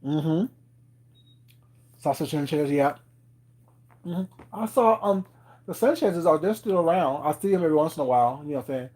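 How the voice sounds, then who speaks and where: Casual stream host, a man in his thirties, slightly higher voice, rambling and teasing the chat. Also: lively intonation, normal speed, long pauses, darkish tone, low-quality recording. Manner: rambling, many fillers and "you know what I'm saying"; laughs often, teasing viewers. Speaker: live stream host chatting with viewers.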